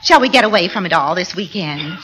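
A woman's voice: fairly low pitch, lively intonation, fairly quick, natural voice. An actress playing a cheerful middle-aged wife and mother in an old radio sitcom, fluttery and singsong. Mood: fluttery, pleased. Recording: noisy vintage radio recording.

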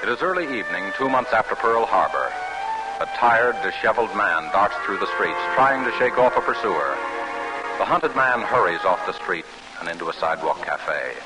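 A man's voice: lowish voice, sing-song, normal speed, rough recording. An American man delivering formal, dramatic scripted narration as a 1940s radio announcer. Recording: old radio recording, music behind.

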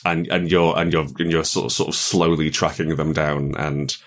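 A man's voice: extremely low pitch, level tone, normal speed. A man guiding a tabletop roleplaying session, thinking aloud casually and often repeating phrases as he works ideas out. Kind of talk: casual collaborative brainstorming.

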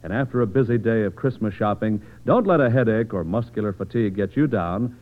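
A man's voice: lowish voice, lively intonation, a little fast. An American man announcing an old radio commercial in a polished, persuasive salesman's manner. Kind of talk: vintage radio ad read. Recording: narrow, lo-fi old radio recording.

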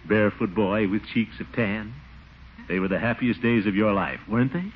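An actor playing a sly, persuasive small-town commissioner in an old radio comedy, coaxing and grandiloquent. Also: male voice, fairly low pitch, moderate pace, a little hiss or hum, lightly acted. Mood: coaxing, wistful. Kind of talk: 1940s radio sitcom acting. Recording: muffled old radio recording.

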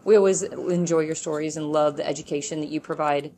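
A woman's voice: low-pitched, steady tone, brisk, warm tone, slight background noise. A woman hosting a podcast, chatting with enthusiasm and energy. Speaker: podcast host. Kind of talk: upbeat podcast chat.

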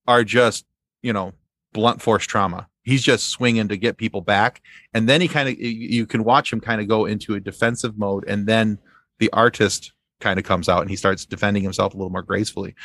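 A man's voice: on the low side, brisk, a bit bright, no echo. A man speaking as a podcast guest in casual fan commentary, often repeating phrases as he works out a thought. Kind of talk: casual chat, pop-culture commentary.

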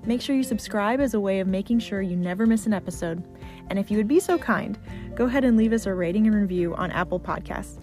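A woman's voice: medium pitch, fast talker, darkish tone, soft background music. A young adult woman co-hosting a faith podcast in casual, earnest, encouraging chat. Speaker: podcast co-host.

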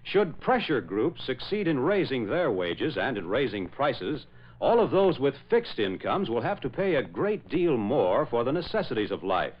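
Emphatic male vintage radio announcer, very lively pitch. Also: moderate pace. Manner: emphatic, formal, dramatic delivery. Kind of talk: 1940s radio announcing, scripted narration. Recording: muffled old radio broadcast.

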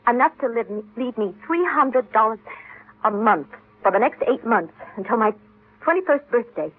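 A woman's voice: a little high, expressive, normal speed, breathy edge. An actress playing a bitter, determined young woman of twenty in old-time radio drama. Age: young adult. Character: bitter, suspicious, determined twenty-year-old heiress daughter. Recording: tinny narrow-band vintage radio recording.

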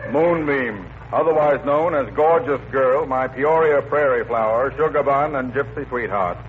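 A man acting a florid, mocking gentleman with grandiloquent diction in a 1940s radio comedy. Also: mid-range voice, moderate pace, lightly acted. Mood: mockingly courtly. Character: pompous, florid, mocking gentleman stranger, mildly affronted. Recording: tinny, noisy old radio recording.

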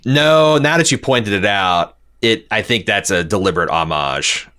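A man with a low, bright voice co-hosts a podcast. He talks casually and reads out listener messages, with a forceful, clear-cut edge to his sound. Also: lively intonation, moderate pace. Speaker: podcast co-host reading listener feedback. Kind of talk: casual chat and reading listener messages aloud.

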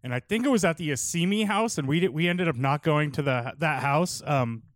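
A man's voice: a little high, animated, a little fast, no room sound, studio quality. A man co-hosting a casual podcast, recalling things in a meandering, hesitant way.

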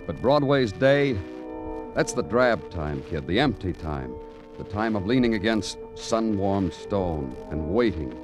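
A man's voice: deep voice, very animated, measured pace. An actor playing a world-weary, poetic big-city police detective who narrates his case in florid, brooding radio noir style. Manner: brooding, florid, dramatic phrasing. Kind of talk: 1940s-50s radio noir acting and narration. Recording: vintage radio recording with music.

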